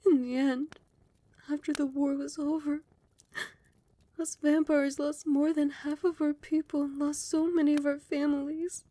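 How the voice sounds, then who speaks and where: A woman playing a doting, dominant, seductive vampire queen in a soft, breathy, often whispered ASMR roleplay, with slow, soothing endearments. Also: mid-range voice, fairly even intonation, dry recording. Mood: grave, impassioned.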